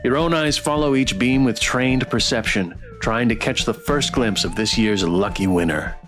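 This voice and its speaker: A man's voice: medium pitch, normal speed, over music. A man reading a visual novel aloud as a streamer, with a dramatic storyteller delivery. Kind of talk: expressive read-aloud narration.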